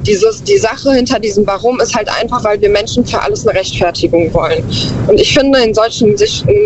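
A woman's voice: medium pitch, fairly quick. A woman calling into a radio show, talking reflectively and hesitantly, often restarting her sentences. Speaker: caller. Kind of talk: phone-in call. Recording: muffled phone line with noise.

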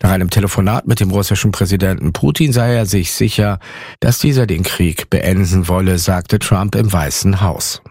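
A male newsreader with a low voice reads the headlines in a measured, even tone. The studio sound is close and dry, with no room echo. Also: breathy edge. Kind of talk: formal news reading. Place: radio studio.

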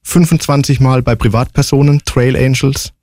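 A man in his forties speaks as a guest in a casual interview. His voice sits at an average pitch and his answers are matter-of-fact and to the point. The sound is dry, without any room echo. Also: fairly even intonation, normal speed, darkish tone. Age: 40s. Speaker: interview guest, long-distance hiker.

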